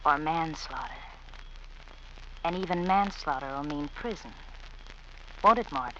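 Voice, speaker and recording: An actress playing a spiteful, taunting wife in an old radio thriller, with pointed, needling, dramatic delivery. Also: female voice, deep voice, measured pace, sharp tone. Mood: cold, taunting. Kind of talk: 1940s-50s radio thriller acting. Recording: muffled, noisy vintage radio recording.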